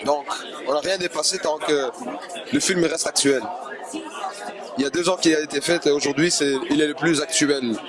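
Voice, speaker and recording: A man's voice: on the high side, steady tone, moderate pace, long pauses, crowd noise. A man being interviewed, speaking casually and hesitantly, often laughing as he explains.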